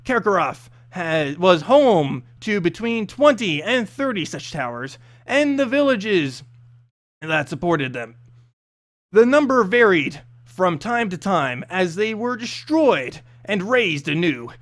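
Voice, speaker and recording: A man's voice: sits high, sing-song, slow. A man reading a scripted fantasy story as narrator, expressively, with an occasional stumble over the text.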